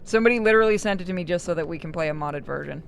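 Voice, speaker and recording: A woman streaming a game and chatting casually with friends, blunt and quick to swear. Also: fairly low pitch, gravelly, slight background noise. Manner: blunt, profane, gossipy. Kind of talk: casual gaming stream chat.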